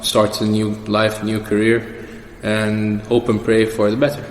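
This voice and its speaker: A man's voice: lowish voice, monotone, a little slow. A young man answering questions as the guest in a casual personal interview. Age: young adult. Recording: echoey reverberant room with background noise.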